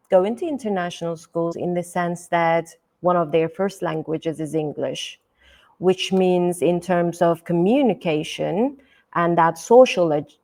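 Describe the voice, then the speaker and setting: Slow-talking Turkish woman educator. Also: fairly low pitch, gravelly, a little mellow. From Turkey. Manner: thoughtful, many fillers, repeats "et cetera". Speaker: guest educator. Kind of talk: podcast interview.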